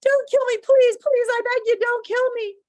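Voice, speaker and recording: A woman's voice: mid-range voice, animated, fast, darkish tone, close to an everyday voice. A woman voicing a chubby, terrified boy in a storybook, whining and pleading. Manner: whining, sobbing pleas.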